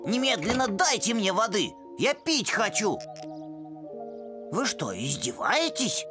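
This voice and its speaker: A woman voicing a sensible, skeptical, slightly bossy puppy boy in a children's cartoon, in a measured know-it-all manner. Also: medium pitch, sing-song, music in the background. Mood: irritated.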